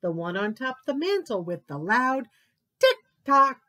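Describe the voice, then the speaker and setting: A playful daddy mouse, as a woman reading a bedtime book puts him on calls out for his hiding little one in a sing-song rhyme. The voice is fairly high and bouncy, with wide swoops of pitch. It stays unhurried and gentle, close to the reader's own voice. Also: sing-song, exaggerated voice. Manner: sing-song rhyming chant.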